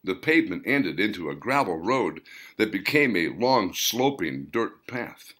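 A man reading a story as a scripted audiobook narration, in deliberate, dramatic phrases broken by pauses. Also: fairly low pitch, sing-song, fairly bright tone. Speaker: author reading own novel, narrator.

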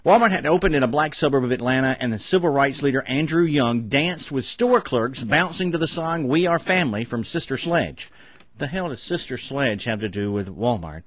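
A man's voice: mid-range voice, animated, moderate pace, a bit bright, no room sound. A middle-aged man hosting an amateur news show, reading stories casually with sarcastic comic asides. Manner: sarcastic, wry. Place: small home room at a desk. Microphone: desk microphone close in front.

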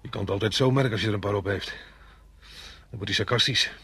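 An actor playing a gruff, resentful veteran scout in a radio play, curt and matter-of-fact. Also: male voice, on the low side, fast talker, sharp tone, no room sound, heavily acted. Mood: scornful, conspiratorial. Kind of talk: Dutch radio play acting. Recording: noisy old radio play recording.

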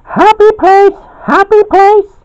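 Man doing a very squeaky, meddling little character. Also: huge pitch swings, normal speed, a little mellow, highs cut off, heavily acted. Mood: chanting. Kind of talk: amateur storytelling with acted dialogue.

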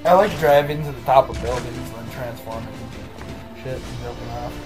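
A man's voice: mid-range voice, fairly quick. A young man giving casual, joking commentary on a video game. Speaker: let's play commentator. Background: loud game music.

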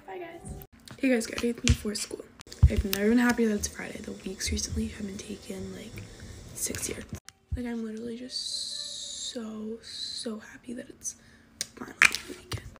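A teenage girl rambles in a soft half-whisper, filling her talk with "like", while getting ready in her bedroom. Her slightly high voice carries a gravelly fry. She speaks into a phone held at arm's length, with loud noise underneath. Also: normal speed. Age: teens. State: losing her voice from coughing. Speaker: vlogger. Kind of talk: casual get-ready-with-me chat. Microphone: phone camera at arm's length.

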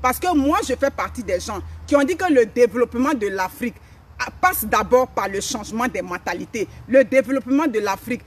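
A woman's voice: on the high side, lively intonation, fairly quick, slight background noise. A middle-aged woman from Africa, hosting a motivational live stream outdoors in a forest, speaking emphatically and repeating her phrases. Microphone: phone camera at a few steps.

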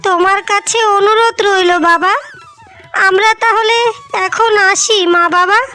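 A devoted, easily wounded young bride from a cartoon melodrama, played by a woman. Her voice is extremely high-pitched and sharp, plaintive and pleading. Faint music sits behind it. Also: fairly even intonation, a little slow, exaggerated voice. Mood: concerned, sorrowful. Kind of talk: melodramatic cartoon story dialogue. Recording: music bed under the voice.

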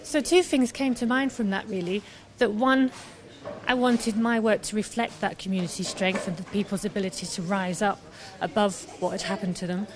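A woman's voice: medium pitch, steady tone, moderate pace. Young woman chatting at a handheld mic. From Scotland. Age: young adult. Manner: chatty, enthusiastic, many fillers. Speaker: artist guest. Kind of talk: live radio interview. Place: busy pub. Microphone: handheld mic held by host.

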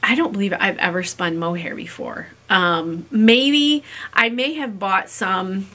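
A woman in her fifties, raspy from a coming cold, chatting to camera about a craft and thinking aloud with many ums. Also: medium pitch, unhurried, sharp tone. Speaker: fiber-craft vlogger. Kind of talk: casual chat to camera. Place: small room in a home, indoors. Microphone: camera in front at desk distance.

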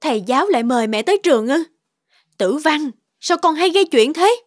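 A woman's voice: high-pitched, animated, a little fast, no room sound, clean studio sound. A woman voicing an anxious, doting cartoon mother obsessed with her son's grades, emphatic, swinging between scolding and gushing. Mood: exasperated. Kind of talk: animated moral tale dubbing. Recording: loud music behind the voice.

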